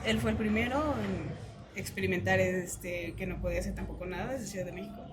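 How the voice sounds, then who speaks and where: A woman with a Mexican accent telling a personal story casually as an interview guest, animated when she retells exchanges. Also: fairly low pitch, background noise.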